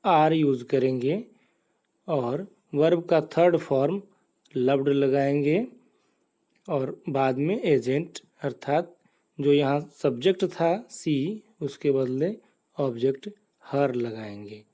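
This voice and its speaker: A man's voice: medium pitch, slow pace, warm tone. A man with an Indian accent teaching grammar step by step in a methodical, explanatory manner. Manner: methodical, explanatory, poses questions and answers them. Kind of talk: step-by-step lesson in Hindi with English examples.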